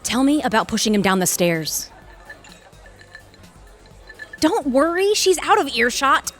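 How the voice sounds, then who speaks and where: An actress playing an exacting, irritated senior lawyer in an audio drama, speaking in a cutting, sarcastic and articulate way. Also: female voice, high voice, big pitch swings, normal speed, a bit bright, faint music behind. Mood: dry. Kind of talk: audio theatre dialogue acting.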